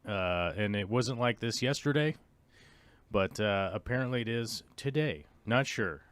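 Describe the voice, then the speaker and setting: A man hosting a live stream from his home office, hoarse and losing his voice, chatting casually and chuckling often as he rambles. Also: on the low side, animated, measured pace, fairly bright tone, dry recording.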